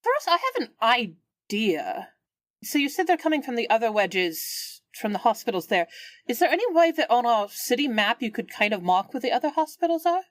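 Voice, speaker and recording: A woman's voice: a little high, big pitch swings, moderate pace, dry recording, studio quality. A woman talking casually as a player in a tabletop game.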